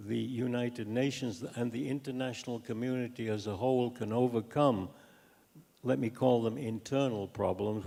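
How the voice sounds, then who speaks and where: An older man speaks slowly and deliberately, with a gravelly creak in his lowish voice. He halts as he builds his academic points, and a long hall reverb trails behind him. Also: dark tone. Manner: measured, halting, deliberate. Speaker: professor giving a talk and taking questions. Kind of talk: academic lecture and answers to audience questions.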